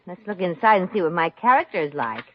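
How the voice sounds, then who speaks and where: A woman's voice: lowish voice, very animated, fast. An actress playing a brassy, good-hearted, wisecracking young woman in 1950s radio comedy style, breezy and slangy. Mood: amused, astonished. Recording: dull old-time radio recording.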